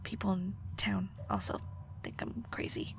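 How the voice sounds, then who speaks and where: A woman playing a prickly, guarded but secretly caring alchemist in an audio roleplay, halting and flustered, teasing and defensive. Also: medium pitch, steady tone, creaky, whispered. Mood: shy confession. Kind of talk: audio roleplay acting, intimate one-sided dialogue.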